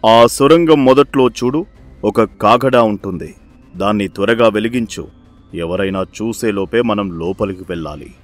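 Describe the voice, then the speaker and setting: A man's voice: lowish voice, unhurried, faint music behind, close to an everyday voice. A man voicing a scheming village-head father. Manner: commanding, sly. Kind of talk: animated story voice acting.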